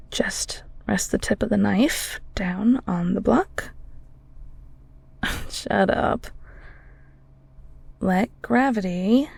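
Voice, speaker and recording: Woman playing a husky, creaky-voiced flirty ghoul chef. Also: medium pitch, no echo, full character voice. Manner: soft, breathy, halting, teasing. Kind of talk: intimate audio roleplay, spoken to the listener.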